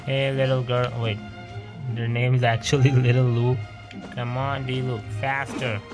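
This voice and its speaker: Young man, casual gameplay commentary, mid-pitched voice, wry grumbling, loud game music behind. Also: little pitch movement, unhurried, rough recording. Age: young adult. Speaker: gamer commenting on a playthrough.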